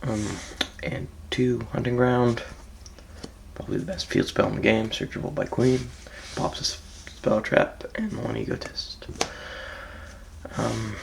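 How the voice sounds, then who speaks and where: A gravelly, raspy man who sounds like he just rolled out of bed, his voice sitting on the lower side. He talks through his card deck in a relaxed, hesitant way, filling pauses with ums and letting phrases trail off quietly. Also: slight background noise. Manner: laid-back, hesitant, frequent ums, trails off softly. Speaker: card game player presenting his deck. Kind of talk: casual deck walkthrough.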